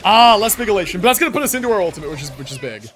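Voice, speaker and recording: A man's voice: sits high, sing-song, racing, fairly bright tone. A man livestreaming a game, commenting casually and answering chat, slangy and sweary as he thinks aloud.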